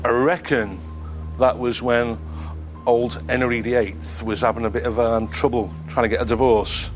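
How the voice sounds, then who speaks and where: A man's voice: medium pitch, little pitch movement, moderate pace, music in the background. A man in his thirties, a quiz contestant, reasoning aloud in a rambling, jokey way. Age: 30s. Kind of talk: thinking aloud on a quiz show.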